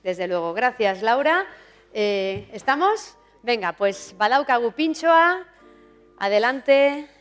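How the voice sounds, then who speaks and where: Lady presenter, lively chatty, echoey hall, some music behind. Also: on the high side, slow pace. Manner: upbeat, chatty, mixes in Basque phrases. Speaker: contest presenter. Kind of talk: live event hosting. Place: auditorium stage.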